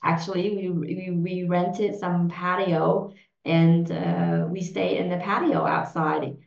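A woman's voice: lowish voice, little pitch movement, takes it slowly, vocal fry. A woman in her forties from Vietnam, a native Vietnamese speaker, answering interview questions hesitantly with many fillers and restarts. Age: 40s. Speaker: podcast guest. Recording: roomy, dull lo-fi sound.

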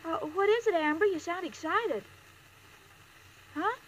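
A sweet, dim, literal-minded young woman with an extremely high voice. She speaks with naive earnestness in a vintage radio comedy, on a noisy old recording with clicks. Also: unhurried, dull audio. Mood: naive, excited. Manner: naive, earnest, slightly hesitant. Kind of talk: 1940s-50s radio sitcom acting.

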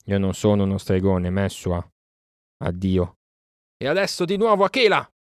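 A man's voice: fairly low pitch, normal speed, darkish tone, no room sound, clean studio sound, close to an everyday voice. A man voicing a proud, bold boy raised in the jungle, speaking emphatically in a storybook reading. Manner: emphatic, declamatory.

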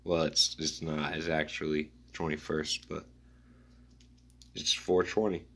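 A man musing aloud in a rambling spoken-word style, reading his own notes in halting fragments. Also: rumbling low, level tone, a bit bright.

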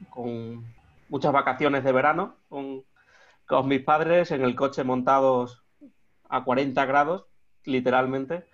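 A man's voice: mid-range voice, highs cut off. A man in his thirties with an Extremadura Spanish accent, a guest talking in an interview, hesitant, with many restarts and fillers. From Extremadura, Spain. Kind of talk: remote interview conversation.

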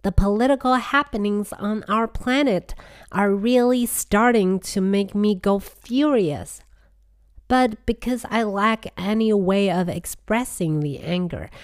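Woman podcast host, husky nasal voice, grandiose scripted comic delivery, very unhurried pace. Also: mid-range voice, dry recording, clean studio sound. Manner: grandiose, slow and deliberate.

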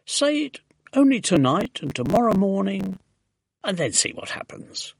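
A storybook narrator playing a mild, courteous gentleman who is polite and reassuring. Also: medium pitch, very animated, a little slow, vocal fry, dry recording, pitched above the performer's own voice, exaggerated voice.

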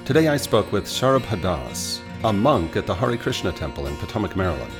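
A man's voice: deep voice, sing-song, brisk, over music. A man hosting a podcast, reading an introduction and then asking questions, often stammering and repeating words as he asks. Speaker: podcast host. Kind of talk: interview host, scripted intro then chat.

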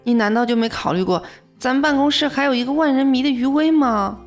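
A woman's voice: high voice, a little fast, close to an everyday voice. An actress playing a modest young female office worker in an audio drama, in first-person narration and dialogue. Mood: flustered, exasperated. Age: young adult. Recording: music bed behind the voice.

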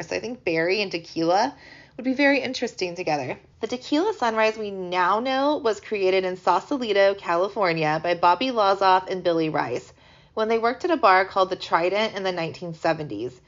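A woman in her thirties from the American Midwest hosting a podcast in casual chat, chatty and animated, her voice hoarse from a sinus infection. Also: mid-range voice, brisk. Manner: chatty, animated, laughs easily. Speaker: podcast host. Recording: slightly dull lo-fi recording.

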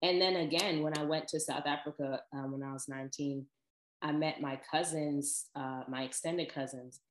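A young woman in her twenties with a strikingly deep, low voice tells personal stories in a casual vlog. She talks in a chatty, expressive way and laughs easily between asides. The sound has a noticeable echo, as if recorded in a bare room. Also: moderate pace. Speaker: vlogger. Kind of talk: casual personal storytelling to camera.

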